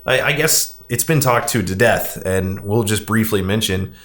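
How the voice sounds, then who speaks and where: A man with a fairly low voice hosts a casual podcast chat about films. He speaks conversationally and puts emphasis on his opinions. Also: normal speed, fairly bright tone. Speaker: podcast host. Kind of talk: casual film discussion.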